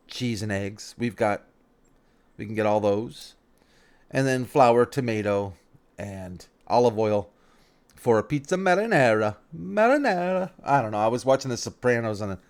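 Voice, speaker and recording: A man's voice: mid-range voice, creaky. A man giving casual let's-play commentary on a farming game, thinking aloud, rambling and often correcting himself mid-sentence.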